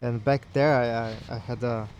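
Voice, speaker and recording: A man, in Portuguese, makes a casual ham radio contact. His mid-pitched voice is courteous and complimentary. He is outdoors on a rooftop, with some noise in the recording. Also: fairly even intonation, moderate pace, a little mellow, rough recording. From Brazil. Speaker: amateur radio operator.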